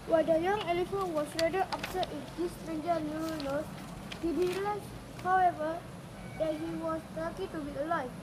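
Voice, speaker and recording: High-voiced woman reading a folk tale. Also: steady tone, normal speed. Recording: clearly noisy recording with ambient sound.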